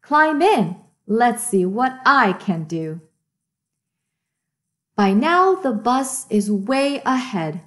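A woman's voice: a little high, big pitch swings, drawn-out words, heavily acted. A woman voicing an eager, kind, plucky little boy truck in a children's storybook, with encouraging exclamations.

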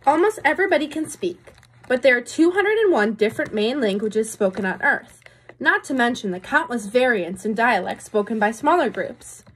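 A woman's voice: fairly high pitch, expressive, normal speed, a little hiss or hum. A young adult woman reading a picture book to children as a teacher would, with explanatory asides and questions to her listeners. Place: small room indoors.

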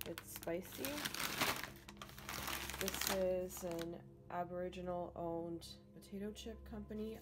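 Chatty woman over loud music. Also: on the low side, low-quality recording. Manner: chatty, filler-heavy. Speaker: vlogger. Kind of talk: casual grocery haul vlog. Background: music bed.

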